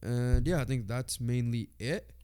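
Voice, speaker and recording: Congested man, smooth clear voice, sports podcast co-host, halting list reading. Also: mid-range voice, mellow. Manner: reads off lists haltingly with fillers. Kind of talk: casual sports chat.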